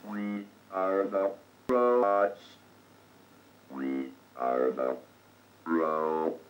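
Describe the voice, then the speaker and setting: Very deep synthetic male robot voice. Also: very slow, rough recording. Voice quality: synthetic, buzzy 1980s computer speech. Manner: flat, stilted, mechanical. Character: monotone male computer robot voice. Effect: vintage computer speech synthesizer. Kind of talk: robotic recitation of song lyrics.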